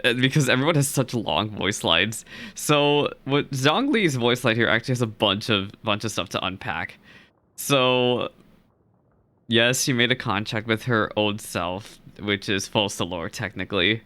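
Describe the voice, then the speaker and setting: A man's voice: mid-range voice, normal speed, pushed and bright, no room sound. A man hosting a reaction video, giving casual, chatty commentary.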